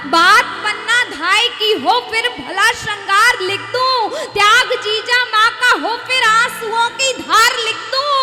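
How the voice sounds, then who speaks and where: A teenage girl reciting and singing her own verses on stage at a poetry gathering, repeating each line in a sing-song melody. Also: extremely high pitch. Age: teens. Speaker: poet. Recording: echoey hall, loud music behind.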